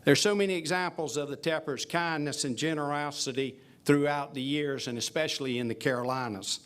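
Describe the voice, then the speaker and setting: A man on a university faculty giving a ceremonial speech, telling a story with some halting fillers and restarts. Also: fairly high pitch, fairly even intonation, a bit bright.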